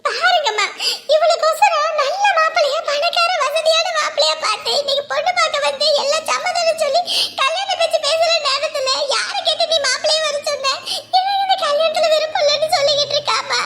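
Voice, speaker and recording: Brief: woman playing a high, piercing middle-aged fish-seller mother, loud and complaining. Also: brisk, noisy background. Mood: scolding. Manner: loud, shrill, complaining rants. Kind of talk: one-person family comedy skit.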